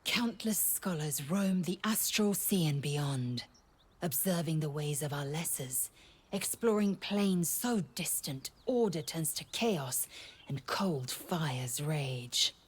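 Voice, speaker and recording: A woman's voice: deep voice, expressive, takes it slowly, slightly rough, full character voice. A woman playing a severe young female alien warrior in a video game, with an imperious, disdainful, formal delivery. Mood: haughty, didactic. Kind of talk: scripted video game character acting.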